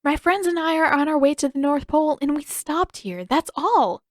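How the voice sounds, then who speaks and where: A woman's voice: high-pitched, expressive, normal speed, no echo, clean studio sound, pitched above the performer's own voice. A woman voicing an earnest, apologetic teenage girl in an audiobook, with a reassuring but easily flustered manner. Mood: anxious, earnest. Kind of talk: audiobook character acting.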